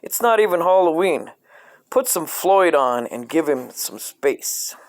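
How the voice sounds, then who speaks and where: A man reading a comedic parody children's book aloud in a deadpan, scripted storyteller style. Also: a little high, a little slow, slight background noise.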